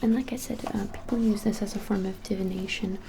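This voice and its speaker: Young woman, breathy whisper, even intonation, gentle, unhurried, ASMR shopkeeper roleplay, faint noise. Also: medium pitch. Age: 20s. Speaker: ASMR creator playing a witch shop keeper. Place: small indoor room, plain wall.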